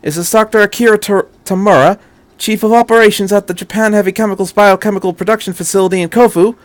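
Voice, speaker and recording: A man voicing an urgent, fast-talking doctor who bluffs with authority, persuasive and emphatic, in a fan fiction audiobook. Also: high voice, fairly even intonation, dry recording, voice pushed up, natural voice. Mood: brisk, bluffing.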